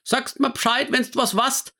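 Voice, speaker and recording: A man voicing an agitated elderly woman, talking fast on the telephone. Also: medium pitch, pitched above the performer's own voice, natural voice. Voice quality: raised excited voice, dialect-heavy.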